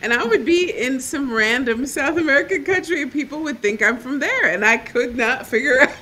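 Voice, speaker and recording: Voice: high-pitched, lively intonation, fairly quick, pushed and bright. A podcast co-host chatting casually and enthusiastically, rambling and restarting as thoughts come. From family from Trinidad and Tobago and Costa Rica. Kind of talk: casual interview chat.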